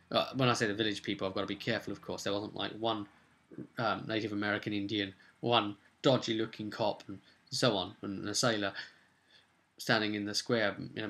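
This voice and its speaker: A man's voice: lowish voice, fairly bright tone. A British man giving a chatty, rambling recap as a game master, telling the story casually with wry asides and loosely acted-out quotes. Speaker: game master recapping a tabletop role-playing session.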